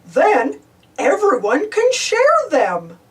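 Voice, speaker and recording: A woman voicing a friendly big male dinosaur in a storybook, gently explaining. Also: extremely high pitch, wildly swooping pitch, slow pace, distant mic, voice pushed up, exaggerated voice. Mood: gentle.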